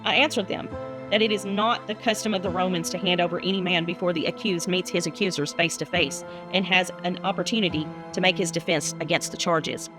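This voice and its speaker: A woman from the United States, a minister, who reads scripture and chats. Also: medium pitch. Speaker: guest minister and Bible reader. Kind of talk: Bible reading and casual chat.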